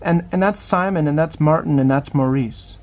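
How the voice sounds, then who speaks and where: A man's voice: on the high side, moderate pace, darkish tone, lightly acted. A man voicing a headstrong, sensitive young boy from a picture book, eager and insistent. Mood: proud.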